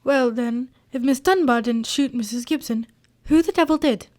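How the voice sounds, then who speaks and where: A woman playing a puzzled, loyal gentleman companion and storyteller in a radio drama, earnest and old-fashioned in phrasing. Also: sits high, moderate pace, lightly acted. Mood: astonished.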